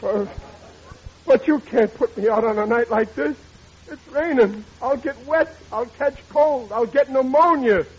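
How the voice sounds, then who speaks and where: A man's voice: extremely high pitch, measured pace, husky, full character voice. An actor playing a blustering, self-pitying, rather dim working-class father in an old radio comedy. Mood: pleading, wounded. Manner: whining, indignant, colloquial. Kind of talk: 1940s radio comedy acting. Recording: dull, noisy old radio recording.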